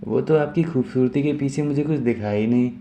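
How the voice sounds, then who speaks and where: A man voicing an earnest, easily flustered young son in an animated moral story. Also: mid-range voice, a little fast, slight background noise, voice pushed up, natural voice. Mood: smitten, confused. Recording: music behind the voice.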